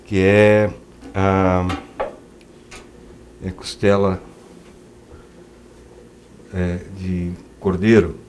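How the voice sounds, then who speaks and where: A middle-aged man with a fairly low voice hosts a cooking segment in a TV studio kitchen. He talks casually as he cooks and explains every step. There is a faint hiss under the audio. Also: flat delivery, very slow, long pauses, darkish tone. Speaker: cooking show host. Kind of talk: casual chat while cooking.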